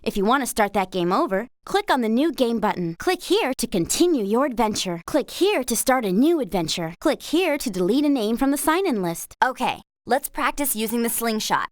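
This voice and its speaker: Cheerful young woman rider, video game guide, lively intonation, dry close studio sound, encouraging. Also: fairly high pitch, normal speed, few pauses, dry recording. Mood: friendly, instructive. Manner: clear, upbeat, encouraging. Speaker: game lead character and tutorial guide. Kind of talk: scripted video game instruction and dialogue.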